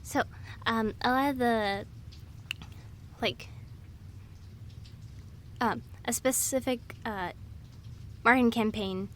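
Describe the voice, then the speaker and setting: A woman with a high voice talks in a loose, rambling way. She is chatty and scatterbrained, jumping along casually with an amused tone, as in an informal audio roleplay. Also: slow, pauses often, a bit bright, a little hiss or hum. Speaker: audio roleplay performer playing a rambling girlfriend. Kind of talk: casual rambling monologue of trivia.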